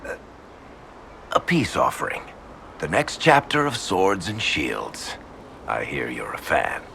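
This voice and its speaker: A wry rogue storyteller from a fantasy game. The voice is deep, gravelly and forceful. He talks casually and theatrically, always ready to tease, over faint noise. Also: wildly swooping pitch, slow, exaggerated voice. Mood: teasing. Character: wry, roguish storyteller, loyal and teasing. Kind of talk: video game character acting.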